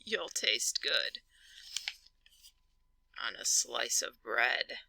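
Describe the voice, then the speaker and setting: A woman voicing a big, slow-witted, menacing male storybook monster with a drawl. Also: very deep voice, expressive, creaky, pushed and bright, dry recording, pitched below the performer's own voice, full character voice.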